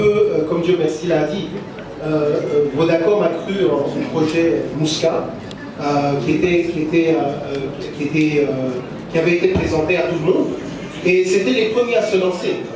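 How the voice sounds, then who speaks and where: A man speaking for a company at a press conference, hesitant and full of fillers. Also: fairly high pitch. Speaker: company representative. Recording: echoey room, far from mic, crowd noise.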